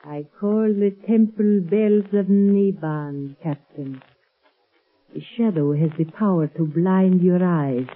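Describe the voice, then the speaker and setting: Exotic villainess, low and breathy, formal and taunting, coolly menacing, vintage radio drama. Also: female voice. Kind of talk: 1930s radio acting. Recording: muffled 1930s radio recording.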